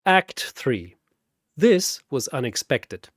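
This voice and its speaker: A man narrating a scripted comic fantasy battle in a theatrical, deadpan manner. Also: medium pitch, takes it slowly, no echo, clean studio sound.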